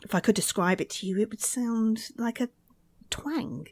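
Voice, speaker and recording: A woman's voice: a little high, moderate pace, a little mellow, dry recording. A woman playing an earnest, haunted daughter of a lonely castle in old-time radio suspense style. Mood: anxious, suspenseful. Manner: earnest, dramatic, tense phrasing.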